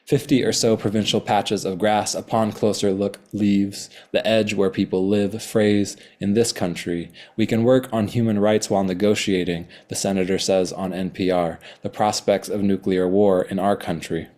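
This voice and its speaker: A man reading his own poems slowly and deliberately, with hesitant, rambling remarks between them. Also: lowish voice, fairly even intonation, mellow. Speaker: poet. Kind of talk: poetry reading with chatty asides.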